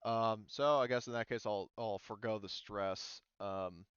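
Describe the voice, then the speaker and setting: A man with a lowish, smooth voice runs a tabletop roleplaying game. He explains rules in a casual way as game master. The audio is dry but a little dull. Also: steady tone, measured pace, a bit bright, dry recording.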